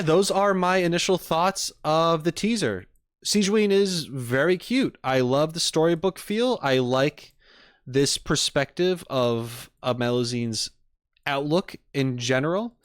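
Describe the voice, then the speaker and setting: A man's voice: medium pitch, no room sound. A man streaming casual reaction commentary, rambling expressively and thinking aloud into a close mic. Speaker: streamer reacting to game videos.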